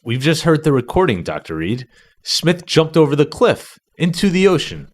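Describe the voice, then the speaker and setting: A man playing a concerned gentleman in an amateur radio play, questioning earnestly with scripted phrasing. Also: a little high, very animated, moderate pace, pitched above the performer's own voice.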